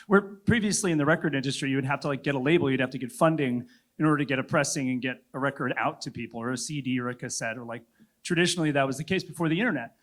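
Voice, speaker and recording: A man's voice: mid-range voice, very fast, a bit bright. A man moderating a conference panel, talking in a reflective, loosely rambling way with filler words.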